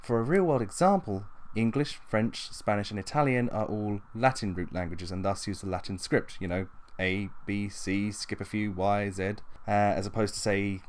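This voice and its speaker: A man's voice: lowish voice, moderate pace. A man hosting a tabletop gaming explainer, narrating in a matter-of-fact, instructional way from loosely scripted notes. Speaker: tabletop gaming video host.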